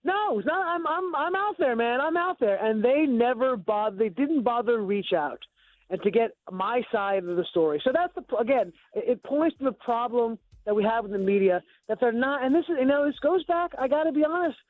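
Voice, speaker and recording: A filmmaker calling in to a radio show, speaking casually and repeating himself. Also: male voice, very high voice, expressive, fairly quick, no echo. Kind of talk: phone interview. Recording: tinny phone line.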